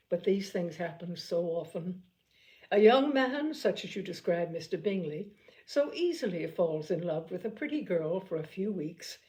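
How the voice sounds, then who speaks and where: A woman voicing a sensible, kindly middle-aged aunt in an audiobook, speaking in a calm, reasoning way. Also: mid-range voice, natural voice, rough recording. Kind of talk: audiobook character voice.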